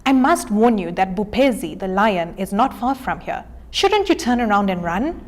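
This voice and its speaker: A woman's voice: medium pitch, big pitch swings, normal speed, close to an everyday voice. Woman as a friendly, breathless zebra. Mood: anxious friendly. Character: friendly zebra, panting out a warning.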